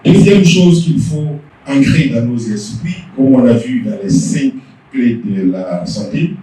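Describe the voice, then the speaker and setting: A man's voice: medium pitch, animated, slow, mellow. A man giving an explanatory, emphatic lecture-style talk to a meeting. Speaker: company director giving the main talk. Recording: echoey hall from far off.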